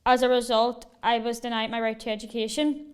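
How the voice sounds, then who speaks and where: A young woman from Northern Ireland reading a prepared rally speech at a measured, deliberate pace. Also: a little high, level tone, smooth. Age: young adult. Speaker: rally speaker. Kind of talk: prepared speech read aloud. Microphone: video call.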